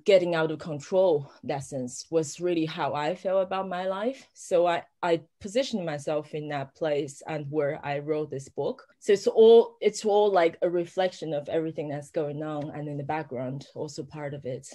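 A woman's voice: sits low, moderate pace, few pauses, dark tone. Chatty woman. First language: Chinese. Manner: rambling, many fillers and restarts, laughs often. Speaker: author guest. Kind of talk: casual conversation.

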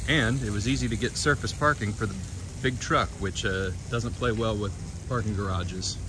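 A man's voice: fairly low pitch, moderate pace, noisy background. Chatty man live-streaming a city walk. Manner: chatty, greets viewers by name. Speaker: livestream walking tour host. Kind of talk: casual live-stream narration. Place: outdoor riverfront promenade in a city. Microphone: phone held while walking. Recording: loud music playing behind the voice outdoors. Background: music playing outdoors.

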